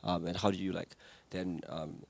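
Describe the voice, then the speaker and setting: A young man from Singapore with a Singaporean accent, asking a halting, multi-part question from the audience at a library talk. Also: low voice, steady tone, gravelly. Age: young adult. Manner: halting, hedged with fillers, stacks several questions. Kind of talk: question from the floor. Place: library event room with live audience.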